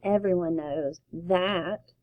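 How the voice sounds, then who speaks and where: A woman's voice: on the low side, slow, no room sound, phone-quality sound, voice pushed down. A woman voicing a dismissive older brother who answers curtly and scornfully. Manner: curt, scornful.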